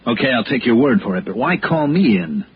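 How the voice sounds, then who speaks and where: An American actor playing a tough, cool insurance investigator in clipped, hard-boiled 1960s radio noir style. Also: male voice, medium pitch, very animated, brisk, noisy background, close to an everyday voice. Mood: probing, skeptical. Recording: muffled vintage radio, music behind.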